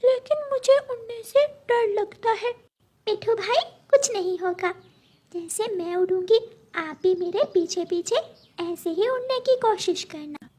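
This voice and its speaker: A woman's voice: very high voice, a little slow, darkish tone, voice pushed up. A woman voicing a small, innocent baby bird in a childlike, whiny manner for a children's cartoon. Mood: timid, eager. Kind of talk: children's cartoon story dubbing.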